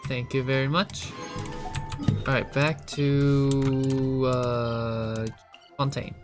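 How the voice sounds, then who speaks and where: A man chatting casually as he live-streams a game, halting and repeating himself with mumbled asides. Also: medium pitch, level tone. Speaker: gaming streamer. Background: music and keyboard typing.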